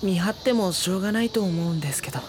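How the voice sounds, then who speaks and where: An actress playing a mild-mannered teenage schoolboy who sounds dry, reluctant and wary. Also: female voice, lowish voice, rapid-fire, darkish tone, slight background noise, natural voice. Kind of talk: drama CD acting.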